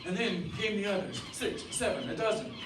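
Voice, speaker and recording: A male actor plays a dogged farmhand husband under siege, fearful and urgent, in an old-time radio play. His voice sits fairly high, heard slightly off the mic in a very roomy space, with music underneath. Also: animated, normal speed, far from the mic, background noise. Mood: desperate, breathless. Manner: dramatic, urgent delivery. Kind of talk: old-time radio drama acting, narration and dialogue.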